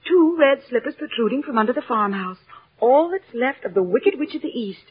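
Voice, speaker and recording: A woman's voice: fairly high pitch, lively intonation, fairly quick, breathy edge. An actress playing a gracious, self-assured good witch in a grand, lilting, theatrical old radio drama style. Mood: gracious, knowing. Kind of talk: 1930s-50s radio drama acting. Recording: tinny old radio broadcast, faint music.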